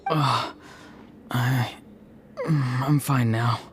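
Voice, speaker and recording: Young self-doubting warrior, video game drama: earnest, halting, mid-range. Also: male voice, mid-range voice, steady tone, noisy background. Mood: weary, remorseful.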